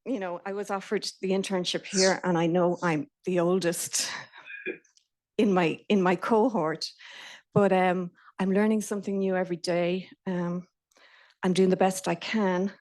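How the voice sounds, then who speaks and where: A woman's voice: lowish voice, steady tone, a little slow, a little mellow. A middle-aged Irish woman giving a nervous, chatty talk to a live audience, with fillers and restarts. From Ireland. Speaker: speaker. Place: event venue in a company office.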